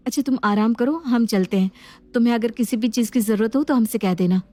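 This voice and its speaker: A woman playing a gentle, resigned sister-in-law. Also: on the high side, a little fast, dry recording, lightly acted. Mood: hesitant. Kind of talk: Hindi family drama acting.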